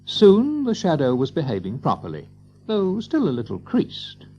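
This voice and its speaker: Animated male storybook narrator, dull recording. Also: on the high side, normal speed, slight background noise. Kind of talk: scripted storybook narration in an audio drama.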